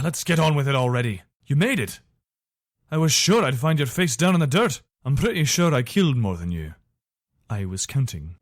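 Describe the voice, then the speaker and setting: A man's voice: fairly high pitch, no room sound. A man playing an earnest fantasy soldier, dry sound. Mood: wry, friendly. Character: loyal, weary young imperial soldier in fantasy war. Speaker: voice actor for a game character. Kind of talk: video game character dialogue.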